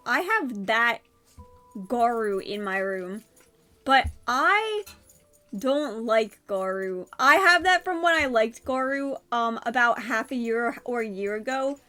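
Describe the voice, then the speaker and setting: A woman's voice: on the high side, animated, slow, bright, forceful tone, soft background music. A young woman with a stuffy nose from a cold, rambling in a casual vlog from her bedroom with many fillers and restarts. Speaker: vlogger.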